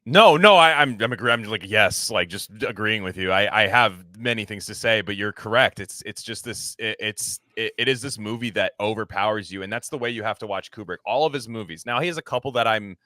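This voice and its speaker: A man hosting a podcast, chatting casually in an emphatic, halting way. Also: fairly low pitch, bright, forceful tone, no echo. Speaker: podcast host. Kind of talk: casual chat.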